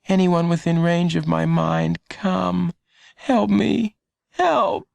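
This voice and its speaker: A man's voice: high voice, takes it slowly, vocal fry, no echo, pitched above the performer's own voice, full character voice. A man voicing an anxious, earnest young boy who pleads and hesitates, in a children's storybook. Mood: desperate pleading.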